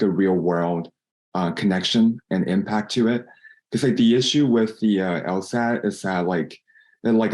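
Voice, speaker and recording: Male writer, very low pitch, informal unscripted answer, halting and hesitant phrasing. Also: darkish tone. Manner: halting, hesitant, searching for words. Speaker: contributing writer answering a question. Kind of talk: casual, spontaneous explanation.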